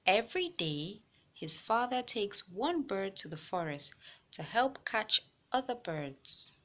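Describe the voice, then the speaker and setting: Slow-paced woman reading a children's storybook. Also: medium pitch, very animated, sharp tone. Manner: slow, deliberate phrasing addressed to young listeners. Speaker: host of a children's read-aloud series. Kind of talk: children's storybook read-aloud narration.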